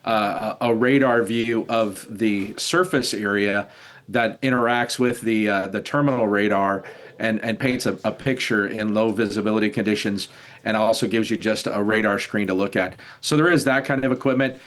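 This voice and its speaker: An older man, an expert guest in a news interview, explaining in a measured, halting way. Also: fairly low pitch, steady tone, a bit bright. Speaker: retired air traffic controller, expert guest.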